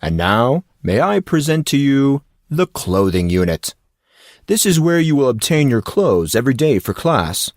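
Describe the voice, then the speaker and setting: A man's voice: mid-range voice, sing-song, a little slow, no echo, clean studio sound, pitched above the performer's own voice. A man voicing an eccentric, courtly ex-football coach in an audiobook, with formal, flowery and effusively polite phrasing. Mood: formal, instructive.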